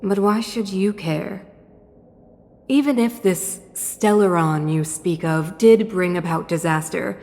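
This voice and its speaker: A woman's voice: fairly low pitch, darkish tone, big-room sound. A woman voicing a dignified, guarded female ruler in a video game, speaking formally and with measure. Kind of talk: English video game dub acting.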